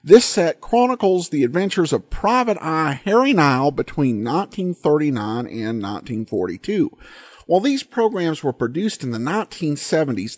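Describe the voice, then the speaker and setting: A man's voice: fairly high pitch, a little mellow, husky, dry recording. A man hosting a podcast, giving commentary and sponsor reads into a close mic. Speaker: podcast host.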